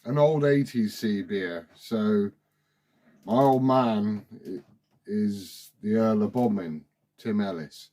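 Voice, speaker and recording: Man, forty, mellow voice, chatty and colloquial, casual CB radio chat. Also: lowish voice, slow. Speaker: CB radio operator asking questions. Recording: CB radio transmission.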